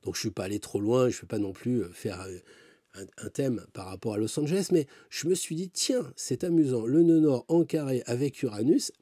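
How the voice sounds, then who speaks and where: A male astrologer talks through his reading in a loose, rambling way, full of fillers and side remarks. His voice is dark and a little husky, recorded dry with no room sound. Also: mid-range voice, normal speed, no echo. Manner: rambling, with many fillers and asides. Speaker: astrologer and tarot reader. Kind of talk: casual spoken commentary.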